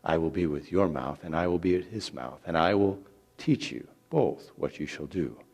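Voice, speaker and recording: A man voicing a solemn, commanding male God who speaks with deliberate authority in a dramatic scripture reading. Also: sits low, expressive, a little mellow, voice pushed down. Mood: angered, firm.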